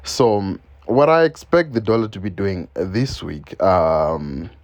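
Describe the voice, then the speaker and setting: A man's voice: deep voice, slow. A man from Zimbabwe, English not his first language, talking loosely through a screen-share trading lesson with many ums and repeated phrases. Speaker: forex trading educator. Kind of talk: screen-share market analysis.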